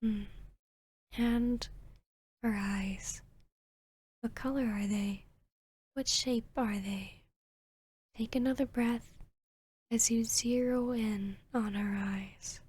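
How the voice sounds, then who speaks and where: A woman acts out an arrogant female vampire posing as a therapist in an intimate ASMR roleplay. Her voice is a little high and very breathy, often sinking toward a whisper. She speaks slowly and soothingly, with a teasing, hypnotic authority. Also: fairly even intonation, darkish tone. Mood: soothing, probing. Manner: slow, soothing, commanding, teasing.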